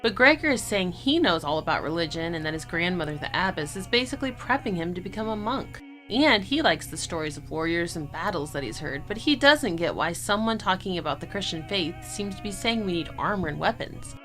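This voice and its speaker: A woman's voice: on the low side, animated, a little fast, hardly any pauses, a bit bright, soft background music. A woman hosting a story program, explaining the tale casually and simply, as if to children.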